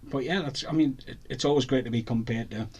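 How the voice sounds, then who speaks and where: A man's voice: medium pitch, quick pace, a crowd in the background. A man hosting a podcast in a studio, talking enthusiastically and rambling on with restarts. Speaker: podcast host. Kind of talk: casual interview chat.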